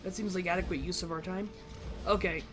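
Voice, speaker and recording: A woman giving casual let's play commentary on a game, low-key and musing aloud. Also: fairly even intonation, a little fast. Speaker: gamer narrating a playthrough. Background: faint game music.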